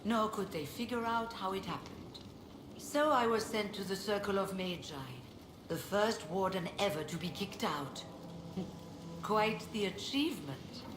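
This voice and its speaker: A woman voicing a weary, dignified mage leader in a video game, speaking in a measured, formal, reflective way. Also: mid-range voice, natural voice. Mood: wry, reflective. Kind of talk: video game dialogue acting. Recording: roomy echo, clearly noisy game capture.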